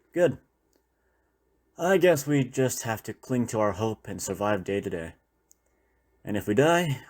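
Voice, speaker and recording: A man's voice: medium pitch, darkish tone. A man playing a weary former doctor surviving a zombie apocalypse, talking in a reflective, conversational way to a trusted partner in an intimate audio roleplay. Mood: warm, hopeful. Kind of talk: intimate audio roleplay, one-sided dialogue.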